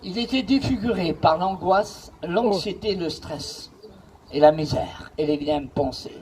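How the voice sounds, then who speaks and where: Male pastor with a notably high voice, preaching with emphatic repetition and storytelling. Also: lively intonation, unhurried, darkish tone, a little hiss or hum, low-quality recording. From Paris region, France. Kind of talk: preaching with congregation responses.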